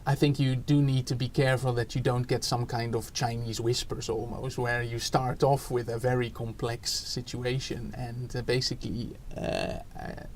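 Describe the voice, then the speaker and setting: A man from the Netherlands speaks English with a Dutch accent. He answers podcast questions thoughtfully and often pauses with fillers and restarts his sentences. His voice sits at a middling pitch. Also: flat delivery, slight background noise. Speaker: education researcher guest. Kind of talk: podcast interview.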